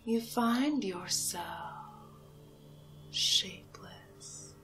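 A woman performing a whispered ASMR hypnosis roleplay as a haughty, mesmerizing alien cow, breathy and drawn-out with long pauses. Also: medium pitch, animated, fairly bright tone, faint music behind. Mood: hypnotic, soothing.

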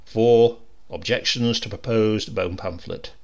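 Gravelly man reading a comic story. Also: on the low side, slow, lo-fi sound. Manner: dry, wry storytelling with lively voiced dialogue. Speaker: narrator.

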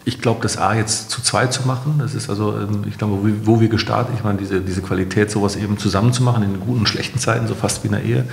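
A man's voice: on the low side, fairly even intonation, quick pace, gravelly, hardly any pauses, a little hiss or hum. A middle-aged man answering interview questions as a company founder, searching for his phrasing and correcting himself. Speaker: company founder, podcast guest. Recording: roomy, echoey interview room.

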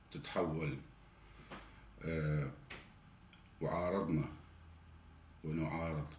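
A man in his forties with an Iraqi Arabic accent, delivering an indignant political monologue straight to the camera. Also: extremely low pitch. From Iraq. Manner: indignant, grows heated and louder. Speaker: political commentator. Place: indoor study with flag and cabinet. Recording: roomy indoor echo, faint hiss.

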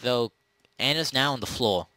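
A man improvising casual narration as game master of a role-play, often halting and correcting himself. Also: medium pitch, a crowd in the background.

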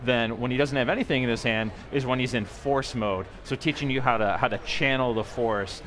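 A man's voice: medium pitch, fairly quick, fairly bright tone, slight background noise. A man in his thirties demoing a toy as a company guest at a convention booth, eager, often repeating words as he goes, on a lapel mic. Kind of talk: product demo interview. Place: booth at a busy convention hall.

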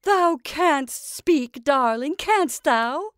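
Woman acting a loud, high-pitched domineering old witch. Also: lively intonation, a little slow, dry recording, studio quality. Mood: scornful coaxing. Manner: emphatic, declamatory, archaic speech. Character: domineering old witch, gleefully scornful and coaxing.

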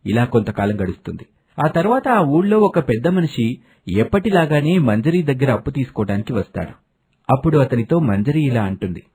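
A man's voice: medium pitch, sing-song, brisk, darkish tone, husky. A man narrating a scripted moral story as a storyteller.

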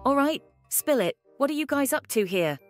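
A woman's voice: sits high, lively intonation, moderate pace, soft background music. A woman voicing a laid-back, curious young male chicken in an amateur cartoon comic dub.

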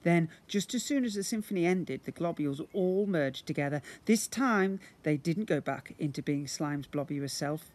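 A man's voice: fairly high pitch, animated, moderate pace, hardly any pauses, a little mellow. A middle-aged man reading a children's story aloud like a teacher to young pupils, outdoors. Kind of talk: animated children's storybook narration. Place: outdoors in a brick-walled yard. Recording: noisy outdoor handheld recording.

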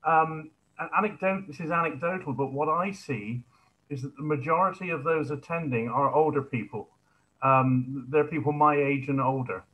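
A middle-aged man putting a spontaneous question at an online seminar, in halting phrases with repeated words. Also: on the high side, a bit bright, muffled recording. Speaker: audience member asking a question. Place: living room, indoors. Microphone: video call, computer microphone.

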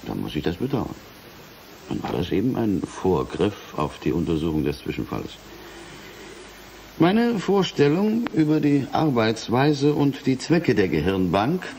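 An animated, probing prosecutor. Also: male voice, medium pitch, gravelly, a little hiss or hum, rough recording. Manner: formal, pointed questioning. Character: probing, courteous male prosecutor at a hearing. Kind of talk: German radio drama acting.

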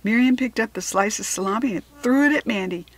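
An American woman narrating a children's story expressively, with deliberate dramatic emphasis on key words. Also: a little high. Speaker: storybook reader.

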